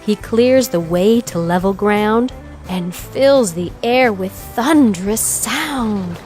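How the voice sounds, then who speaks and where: A woman reading a children's bedtime story aloud, expressively, with gentle, drawn-out phrasing and acted emphasis. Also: mid-range voice, lively intonation, soft background music. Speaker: storybook reader.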